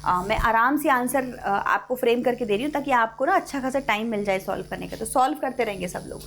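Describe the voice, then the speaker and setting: A young woman with an Indian accent teaches an English lesson, talking students through the text in a mix of Hindi and English. Her voice sits fairly high. She slows down to read English passages aloud, and soft music plays faintly behind her. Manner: explains in Hindi mixed with English, reads English lines slowly. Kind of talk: live online class explanation.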